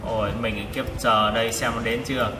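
A man's voice: medium pitch, fairly even intonation, a little fast. A man giving live gameplay commentary, exclaiming and repeating short phrases as he plays. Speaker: gaming vlogger playing a tank game. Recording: distant mic, echoey reverberant room. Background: loud game music.

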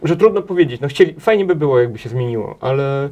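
A man in his thirties answering questions in a studio interview, talking in halting runs with many restarts and repeated words, recorded on a table mic in a small TV studio. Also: medium pitch, lively intonation, darkish tone, dull audio, a little hiss or hum. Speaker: interview guest, head of a transport association.

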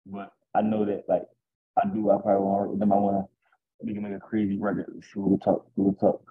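A young man, a rapper, talking casually and boastfully in an interview over a video call, with a deep raspy voice. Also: big pitch swings, quick pace. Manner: laid-back, boastful, rambling. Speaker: rapper guest. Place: indoors at home. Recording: tinny video call audio.

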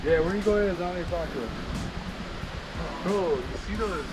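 A man's voice: sits high, over loud music, poor audio. A young man hiking with a friend along a creek, joking and swearing in easy slang. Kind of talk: casual chat. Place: outdoors on a wooded creek trail. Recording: loud rushing stream behind.

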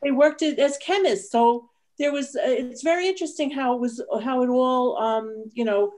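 A woman's voice: high voice, normal speed, darkish tone. A woman explaining history emphatically in a panel discussion, speaking indoors on a video call.

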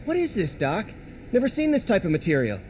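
An actor playing an earnest, loyal young lab assistant, sincere and boyish, in a video game. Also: male voice, sits high, huge pitch swings, fairly quick, darkish tone, a little hiss or hum. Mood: curious, cautious. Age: young adult. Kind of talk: video game cutscene acting.

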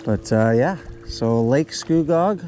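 A young man with a Canadian accent hosts a casual outdoor vlog. His voice sits at an average pitch, with clear noise around it and small clicks. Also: animated, slow pace, mellow. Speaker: fishing channel host. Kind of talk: casual fishing vlog chat. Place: outdoors in a canoe on a lake. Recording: noisy outdoor action camera recording, clicks.

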